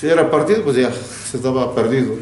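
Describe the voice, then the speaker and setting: An older man from Mexico, a retired teacher, recalling his childhood in an interview, reflective and often laughing, with halting restarts. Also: medium pitch, a little mellow, reverberant, a little hiss or hum. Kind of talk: recounting childhood memories.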